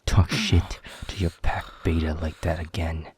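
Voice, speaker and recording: A man voicing an aggressive, foul-mouthed werewolf pack beta in an audio roleplay, taunting and sarcastic, with a gravelly, husky voice. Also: rumbling low, steady tone, unhurried, darkish tone, heavily acted. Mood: menacing.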